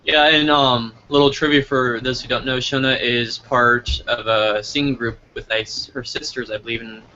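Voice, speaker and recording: Male host, mid-pitched and bright, casual halting interview delivery, dull recording with faint noise. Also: fairly even intonation. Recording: noisy lo-fi online call audio.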